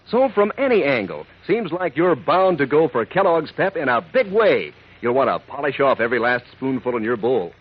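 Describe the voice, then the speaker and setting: An American man doing a peppy, folksy, emphatic 1940s radio advertising pitch aimed at children. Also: slightly rough. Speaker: commercial announcer. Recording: dull lo-fi 1940s radio recording.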